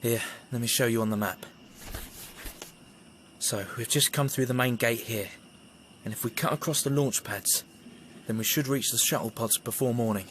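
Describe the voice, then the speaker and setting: An actor playing a wary rebel worker in an audio drama, earnest and explanatory. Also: male voice, medium pitch, moderate pace, long pauses, fairly bright tone, a little hiss or hum, close to an everyday voice.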